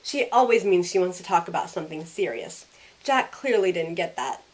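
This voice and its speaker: A woman's voice: lowish voice, very animated, moderate pace. A young woman playing a sassy, loyal modern heroine, narrating in the first person in a wry, conversational way. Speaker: narrator and lead character. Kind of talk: first-person story narration with in-character dialogue.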